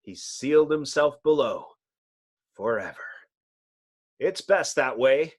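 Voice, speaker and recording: A man's voice: medium pitch, lively intonation, slow. Man playing an earnest, dramatic superhero leader. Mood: solemn. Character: earnest, thoughtful male leader of superhero team.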